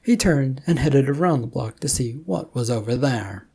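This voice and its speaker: A narrator reads scripted fiction in a mid-range voice. The delivery is gravelly and husky, with a soft, mellow tone. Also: mid-range voice, expressive, normal speed.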